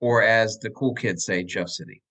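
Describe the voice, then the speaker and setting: A man's voice: lowish voice, normal speed, bright, forceful tone. A man hosting a casual podcast over a video call, telling joking, rambling stories. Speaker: podcast host. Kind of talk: casual chat. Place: room at home.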